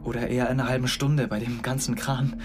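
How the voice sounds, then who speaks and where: An actor playing a young man who pleads in a halting, hesitant way with someone who won't answer, in a radio drama. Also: medium pitch, steady tone, background noise, natural voice. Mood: pleading. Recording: radio play with loud music.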